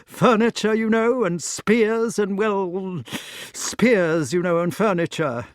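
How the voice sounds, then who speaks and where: A man reading an audiobook, voicing a flustered, blustering old country knight who stammers and rushes his words. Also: high-pitched, dry recording, studio quality, pitched above the performer's own voice. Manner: stammering, anxious, hasty. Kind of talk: audiobook character voice.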